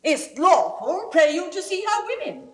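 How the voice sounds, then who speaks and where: An actress playing a proud, sharp-tongued noblewoman in commanding, theatrical Shakespearean style on a vintage recording. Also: very high-pitched, sing-song, measured pace, heavily acted. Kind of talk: classical Shakespearean stage acting. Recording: echoey vintage recording, faint noise.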